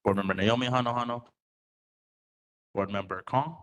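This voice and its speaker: A man's voice: mid-range voice, fairly even intonation, normal speed, fairly bright tone. A man running a roll-call vote at a public meeting, apologetic and self-correcting.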